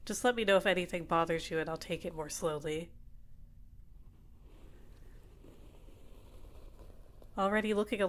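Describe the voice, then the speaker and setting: A woman playing a doting, possessive villain in an ASMR roleplay, speaking in a gentle, coaxing, soothing way. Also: fairly low pitch, close to an everyday voice. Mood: gentle, attentive. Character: doting, possessive female villain caring for a captive. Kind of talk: ASMR roleplay, personal attention.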